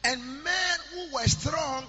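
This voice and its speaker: A man preaching a sermon in a chanting, sing-song style that rises into shouts. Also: very high-pitched, takes it slowly, highs cut off. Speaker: pastor. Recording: church hall reverb with music behind.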